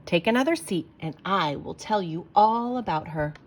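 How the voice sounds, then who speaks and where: A calm, kindly talking bus, as a woman reading a storybook puts it on, speaking slowly and reassuringly to a nervous passenger. The voice sits a little low and stays gentle and unhurried.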